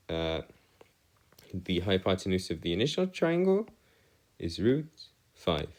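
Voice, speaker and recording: Man, math tutor, unhurried pace, very varied intonation, formal wording, frequent hesitations. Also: fairly low pitch, a little mellow. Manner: formal, wordy phrasing. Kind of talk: explanatory maths lesson over written working.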